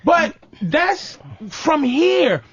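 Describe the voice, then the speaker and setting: A man's voice: extremely high pitch, sing-song, very slow, fairly bright tone, muffled recording. A man hosting a radio show, ranting comically and profanely about relationships and mimicking his girlfriend in whiny voices. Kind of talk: comedic relationship rant.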